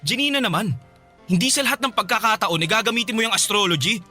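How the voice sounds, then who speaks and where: An impatient ex-boyfriend, brushes off his former partner with exasperated emphasis. The voice is high and sharp-edged, curt and evasive. A quiet music bed runs behind it. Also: lively intonation, fast talker, exaggerated voice. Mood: irritated, dismissive. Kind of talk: Filipino radio drama acting. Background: background music.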